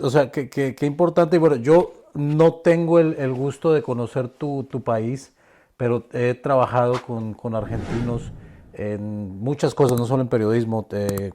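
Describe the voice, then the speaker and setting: A man hosting an interview, relaxed and friendly, with short affirming replies. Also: medium pitch. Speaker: interview host. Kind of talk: conversational interview.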